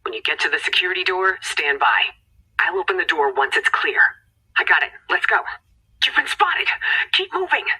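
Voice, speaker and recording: Forceful, husky female scientist under pressure. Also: on the low side, very animated, normal speed, full character voice, poor audio. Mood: tense, cautious. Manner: urgent, terse, tense. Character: urgent, commanding female scientist guiding agents on a mission. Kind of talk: video game mission voice lines.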